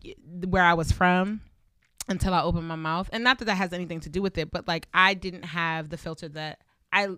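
Woman podcast host just chatting, slangy n sweary, lowish voice, real dry close sound. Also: fairly quick, clean tone, dry recording. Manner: animated, slangy, swears freely. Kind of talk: casual chat.

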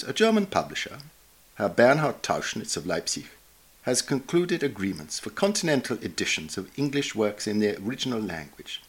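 Formal male narrator, husky and lively in pitch. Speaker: reader of a journal article. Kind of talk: scripted narration, reading an essay aloud.

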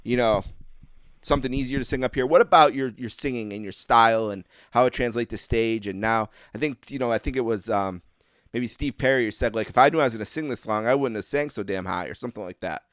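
A man hosting a casual interview talks fast and keeps his questions long and winding. His voice is smooth and clear, and the recording sounds dry and close. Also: mid-range voice, a bit bright, no room sound. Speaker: podcast host.

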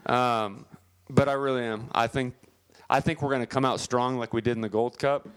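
A man co-hosting a casual chat, confident and quick to push back on his partner. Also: mid-range voice, fairly even intonation, fairly bright tone, clear, resonant tone, dry recording. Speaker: podcast co-host.

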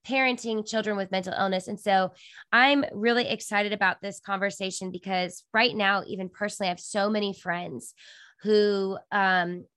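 A woman's voice: medium pitch, fairly even intonation, moderate pace, a bit bright, clean tone, no room sound. A woman hosting a podcast interview over a video call, talking in a chatty way with lots of fillers, her voice nasal from a cold. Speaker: podcast host. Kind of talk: casual interview chat.

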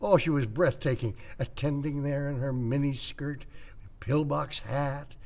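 A man's voice: medium pitch, measured pace, darkish tone, natural voice. An actor playing a sentimental, dotty older retired man in a satirical audio drama, rambling and old-fashioned in manner. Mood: wistful, delighted.

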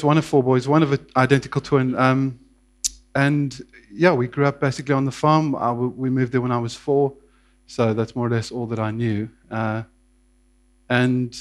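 A man, telling his life story to a church in a casual, hesitant way with many fillers. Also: mid-range voice. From South Africa. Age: 30s. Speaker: interview guest.

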